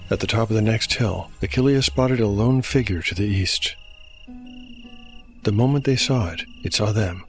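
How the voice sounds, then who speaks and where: A man with a fairly low voice serves as narrator and game master of a fantasy tale. His delivery is scripted storytelling, with music clearly heard underneath. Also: moderate pace. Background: music bed.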